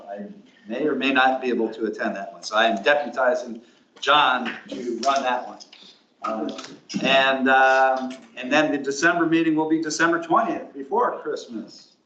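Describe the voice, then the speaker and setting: A man's voice: mid-range voice, a bit bright, a little hiss or hum. A man chairing a public commission meeting, meandering and thinking aloud as he hedges his way through the agenda items. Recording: distant, roomy, dull lo-fi meeting room.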